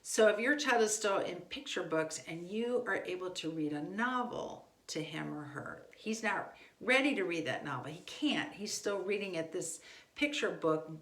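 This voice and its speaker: A middle-aged woman with a fairly low voice talks as an instructor in an informal, conversational way. She repeats words for emphasis. The recording is clearly roomy and echoey. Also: expressive, moderate pace, a bit bright. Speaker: homeschool instructor.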